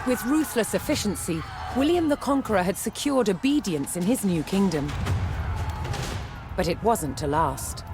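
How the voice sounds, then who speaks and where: A woman narrating a documentary from a script with measured, dramatic delivery. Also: medium pitch, animated. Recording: loud music behind. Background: music.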